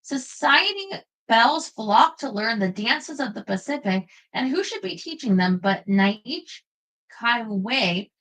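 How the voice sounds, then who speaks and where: A woman reading a biography aloud in a measured, expressive storytelling manner. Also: a little high, low-quality recording. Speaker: narrator.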